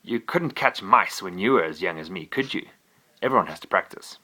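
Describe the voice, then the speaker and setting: A man's voice: deep voice, lively intonation, a little fast, pushed and bright, pitched below the performer's own voice, exaggerated voice. A man reading a bedtime story, voicing a young boastful male pet dragon that is eager and sulky by turns. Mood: childlike boasting.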